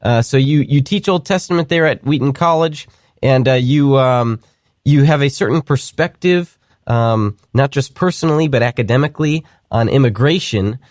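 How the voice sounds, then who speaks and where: Guy hosting a podcast, kinda rambly with ums, mid pitch, dead dry room. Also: normal speed, no room sound. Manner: conversational, many fillers and restarts. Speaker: podcast host. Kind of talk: casual talk show commentary.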